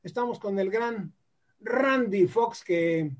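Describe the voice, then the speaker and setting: A man's voice: sits high, slow. A man co-hosting a radio show, joking around and reading out listener greetings. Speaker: radio co-host. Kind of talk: radio interview chat.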